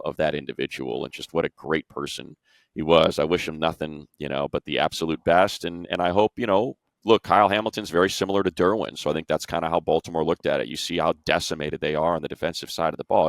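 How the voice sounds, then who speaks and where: A man with a very low voice, recorded close and dry. He talks sports casually and informally as a commentator, letting his analysis run on in long conversational stretches. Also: vocal fry, no room sound. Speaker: sports radio commentator and show panelist.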